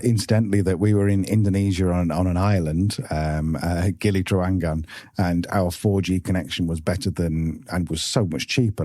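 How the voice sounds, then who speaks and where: British male host, chatty interviewer, dark mellow tone, dry sound. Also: deep voice, breathy edge, no echo. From Britain. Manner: chatty, asks long questions. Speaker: podcast host. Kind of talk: casual interview.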